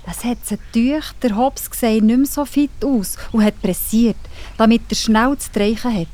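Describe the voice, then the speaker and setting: A woman's voice: a little high, normal speed, mellow. A woman with a Swiss German accent narrating a scripted children's audio story.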